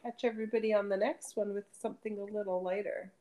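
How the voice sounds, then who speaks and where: A woman's voice: mid-range voice, fairly even intonation, fast talker, mellow. A woman co-hosting a podcast in casual chat, upbeat, with a lingering cough after being sick. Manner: upbeat, wraps up the talk. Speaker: podcast co-host.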